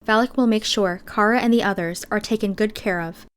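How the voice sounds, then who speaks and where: A woman narrates a novel in the first person. Her voice sits at a middle pitch. The reading is scripted audiobook storytelling. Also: brisk, clear, resonant tone.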